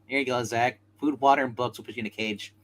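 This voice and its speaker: A man making casual off-hand remarks, heard quietly and from a distance. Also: medium pitch, fairly even intonation, quick pace, slight background noise.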